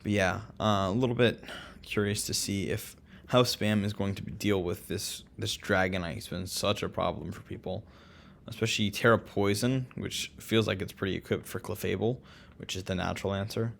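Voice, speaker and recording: A man casually commentating a game recap, relaxed and full of ums and restarts. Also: sits low, moderate pace, vocal fry, slight background noise. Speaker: co-host of a league recap.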